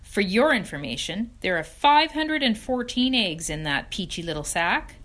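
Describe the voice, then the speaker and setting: A woman's voice: medium pitch, very animated, normal speed, fairly bright tone. A woman voicing an earnest young male pig, reading a storybook. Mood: indignant.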